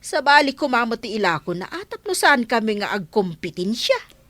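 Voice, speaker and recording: A woman's voice: on the high side, very animated, brisk, fairly bright tone, dry recording. A woman with an Ilocano accent playing a wary, gossipy village shopkeeper in a radio comedy drama. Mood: curious.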